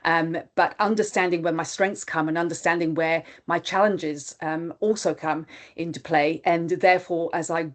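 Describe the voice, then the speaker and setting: A woman with a lowish voice whose first language is not English. She talks through her experience in a reflective, candid way, with easy fillers along the way. It sounds like a video call. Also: fairly even intonation, moderate pace. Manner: reflective, open, with frequent fillers. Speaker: panelist. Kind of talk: webinar panel discussion.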